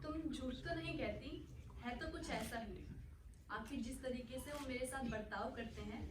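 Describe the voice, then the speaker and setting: A woman's voice: high-pitched, moderate pace, lightly acted. A woman playing a reluctant young woman, uneasy about an elderly suitor, in a stage play. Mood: troubled. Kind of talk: Hindi-Urdu stage play acting. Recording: echoey hall from far off, noisy.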